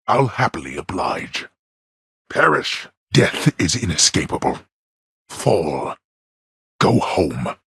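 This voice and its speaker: A man voicing a stern, scornful god of the dead and imperious father in a video game, with a booming, gravelly voice and formal, archaic phrasing. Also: big pitch swings, slow pace, long pauses, fairly bright tone, dry recording, studio quality, exaggerated voice. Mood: menacing, commanding. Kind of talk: video game character voice acting.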